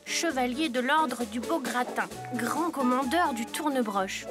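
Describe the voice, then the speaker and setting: High little boy cartoon hero, sweet n earnest, music behind. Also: unhurried. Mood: cheerful, surprised. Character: earnest good-natured young schoolboy hero. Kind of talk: cartoon voice acting.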